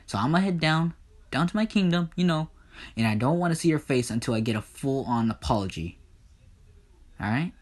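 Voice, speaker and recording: A man's voice: fairly high pitch, expressive, fairly quick, voice pushed up. A man voicing an angry, vengeful Hades in a comedic myth retelling, ranting with profanity and threats. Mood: bitter, demanding. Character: furious, foul-mouthed, vengeful god Hades, aggrieved brother.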